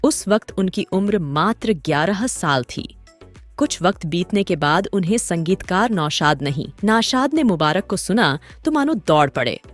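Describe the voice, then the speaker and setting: Expressive low-pitched woman narrating dramatically. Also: a little slow. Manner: dramatic, literary Urdu-tinged storytelling. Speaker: host and narrator. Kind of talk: scripted dramatic documentary narration. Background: background music.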